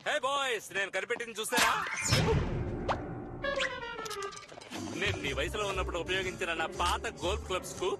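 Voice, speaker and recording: Cheerful middle-aged dad, high voice, rapid delivery, hearty, kids' cartoon dub. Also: male voice, over loud music. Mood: proud, excited. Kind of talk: dubbed children's cartoon acting.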